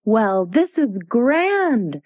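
A woman's voice: fairly high pitch, very animated, a little mellow, dry recording, voice pushed up, exaggerated voice. A woman voicing a polite but argumentative young girl in a storybook reading. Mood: earnest. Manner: earnest, quick when arguing.

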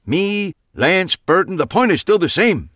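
Man voicing old male magician, gravelly, boastful, loud, high, dry sound. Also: very animated, normal speed, no echo. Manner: complaining, boastful. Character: older male magician, late and grumbling.

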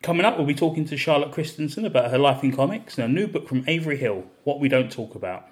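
A man hosting a comics podcast, asking questions with frequent restarts and soft laughs. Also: on the high side, lively intonation, fast, slightly rough. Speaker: podcast host. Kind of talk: casual interview.

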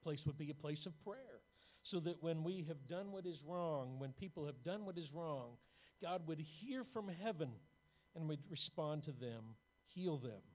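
A pastor preaching a sermon, reading scripture aloud and stressing key phrases with rising emphasis. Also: male voice, on the high side, animated, a little slow. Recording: tinny phone-like sound.

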